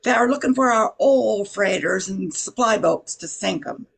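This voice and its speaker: An older woman playing a worried bayou shrimper in an amateur radio play, husky, halting and folksy. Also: mid-range voice. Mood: concerned. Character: worried shrimp boat crew member from the bayou.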